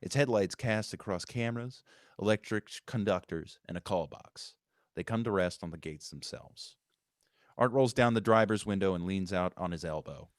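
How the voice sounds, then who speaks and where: Man, scripted narration, stage directions, lowish pitch, dry recording. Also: moderate pace, dry recording. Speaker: writer reading stage directions. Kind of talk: scripted narration at a live table read.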